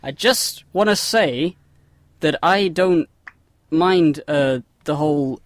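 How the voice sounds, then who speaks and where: A man co-hosting a podcast who speaks haltingly, restarts often, and wants to drop the subject. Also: on the high side, no echo. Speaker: podcast co-host. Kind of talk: casual group podcast chat.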